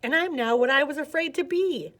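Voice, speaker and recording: A woman voicing an excitable, eager young male python in a children's storybook. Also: sits high, brisk, pitched above the performer's own voice. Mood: eager, content.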